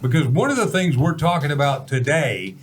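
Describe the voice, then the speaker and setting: A man's voice: on the high side, expressive, brisk, faint music behind. Folksy American man in his seventies, hosting. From Texas, United States. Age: 70s. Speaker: talk show host. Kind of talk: conversational talk show hosting.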